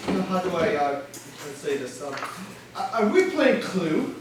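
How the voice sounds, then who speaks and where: A man's voice: high-pitched, big pitch swings, a little slow. A man playing a self-important but hesitant detective, hemming and hawing through a comedic staged reading. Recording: echoey room, distant mic, faint noise.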